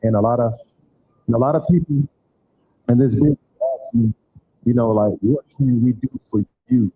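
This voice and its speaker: A man's voice: mid-range voice, moderate pace, husky, phone-quality sound. A man giving loose, repetitive closing remarks as organizer of a community event in a church hall.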